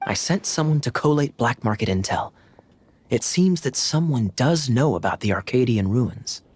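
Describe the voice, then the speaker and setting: A sly, teasing young knight. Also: male voice, mid-range voice, normal speed. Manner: smooth, teasing, calm. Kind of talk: video game voice acting.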